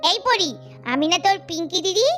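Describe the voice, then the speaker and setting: A woman acts out a food-loving older girl in a comic doll cartoon. The voice is high and lively, full of indignant, self-pitying complaint, with music playing behind. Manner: indignant, dramatic, drawn-out complaining. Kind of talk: children's doll-story cartoon acting.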